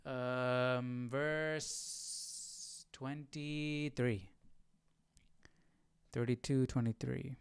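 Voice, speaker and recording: A man's voice: mid-range voice, steady tone, drawn-out words, creaky, pauses often, clear, resonant tone, no echo. A man hosting a Bible study livestream in casual chat, questioning and thinking aloud informally. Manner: informal, questioning, muttering to himself.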